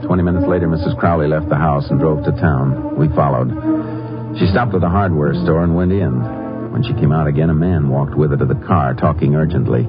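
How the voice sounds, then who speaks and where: A man acting as a stern, determined American Texas Ranger in a 1950s radio drama, speaking in a terse, deadpan way. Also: rumbling low, fairly quick. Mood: matter-of-fact. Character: stern, determined male Texas Ranger leading a murder hunt. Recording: muffled old radio recording with music.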